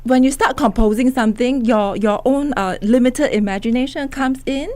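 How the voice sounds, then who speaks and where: A woman's voice: high voice, normal speed, darkish tone. A woman photographer answering as a guest in a radio interview, speaking reflectively with frequent hesitations.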